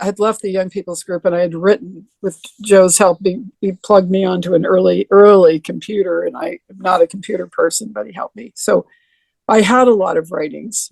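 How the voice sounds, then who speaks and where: An older woman with a deeper voice, reminiscing in a rambling way with many restarts during a video call interview. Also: vocal fry, a little mellow, no echo. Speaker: interview guest.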